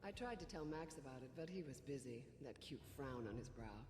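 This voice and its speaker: An actress playing a gentle, affectionate young wife, musing lightly over her diary, heard through a reverb effect. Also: rumbling low, animated, a little fast, music in the background. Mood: musing, fond. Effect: long reverb, as a remembered voice. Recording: echoey long reverb.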